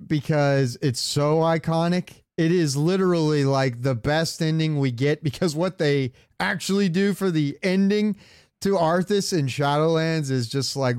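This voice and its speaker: A man's voice: fairly high pitch, a little mellow, smooth, dry recording, studio quality. A man hosting a casual gaming podcast, talking in an opinionated, rambling way with plenty of swearing. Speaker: podcast host.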